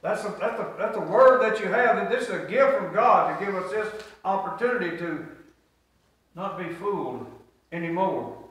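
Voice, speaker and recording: A man's voice: high-pitched, lively intonation, normal speed, a bit bright. A middle-aged man, preaching a sermon and drawing answers from the congregation. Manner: preaching with call-and-response. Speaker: pastor. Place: small church sanctuary. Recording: echoey church from far off.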